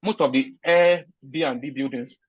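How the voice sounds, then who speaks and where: Nigerian-accented man, interview guest, earnest and emphatic, keeps restarting phrases, mid-pitched voice, muffled lo-fi recording. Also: slow pace, breathy edge, dry recording. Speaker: interview guest, recent immigrant. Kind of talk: conversational interview answers. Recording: dull, lo-fi sound.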